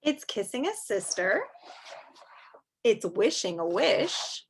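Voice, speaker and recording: A woman's voice: mid-range voice, big pitch swings, slow, a little hiss or hum. A woman teacher reading a picture book aloud to young children, expressively and in simple words, with chatty asides.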